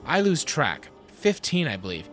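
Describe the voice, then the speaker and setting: Man playing self-assured scientist tour guide, lively pitch. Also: normal speed. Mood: evasive, polite. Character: proud, assured male geneticist guiding a lab tour. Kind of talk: fan audio drama acting. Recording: music under the voice.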